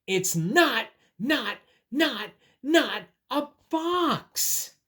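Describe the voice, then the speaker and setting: A woman's voice: a bass voice, fairly even intonation, a little slow, a little mellow, voice pushed down. A woman voicing a small boy bunny from a picture book, stubborn, insistent and frustrated. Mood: frustrated, emphatic. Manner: emphatic, insistent. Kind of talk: picture book read-aloud.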